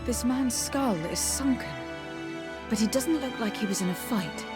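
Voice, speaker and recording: An actress voicing a composed, diplomatic noblewoman investigator in a video game, speaking in a measured, formal way. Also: on the high side, close to an everyday voice. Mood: thoughtful, grave. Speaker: game character voice actor. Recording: background music behind the voice.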